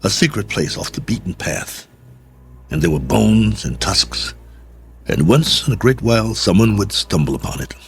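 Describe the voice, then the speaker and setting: An actor playing a lonely, wry stranded astronaut colonel, musing aloud in a radio drama monologue. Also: male voice, on the low side, big pitch swings, normal speed, creaky, slight background noise. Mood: musing, resigned. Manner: reflective, wry, conversational musing. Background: music.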